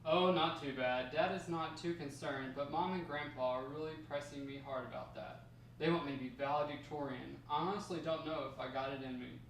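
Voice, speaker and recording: A curious high-school grandson played by a man talks casually in a fairly high voice, plain and a little unsure of himself. It is an amateur drama table read, recorded in a very echoey room from off the mic. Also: steady tone, brisk, natural voice. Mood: self-doubting. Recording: echoey room, distant mic, faint noise.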